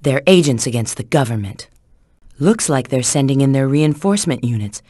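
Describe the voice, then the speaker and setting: A woman's voice: extremely low pitch, expressive, normal speed, mellow, no echo, clean studio sound. An actress playing a sly, confident female spy in a video game, giving scripted hints in a cool, assured, instructive way.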